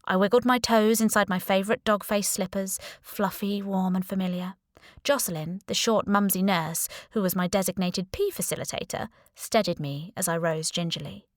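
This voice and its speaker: Casting: female narrator for first-person fiction, mid-pitched, wry and expressive, closely recorded with no room sound. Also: normal speed, dry recording, clean studio sound. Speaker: audiobook narrator.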